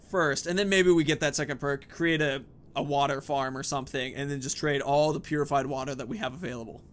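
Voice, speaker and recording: A man's voice: a little high, fairly bright tone. A man giving casual, chatty let's play commentary and thinking aloud as he goes. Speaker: gaming host. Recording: faint game noise behind the voice.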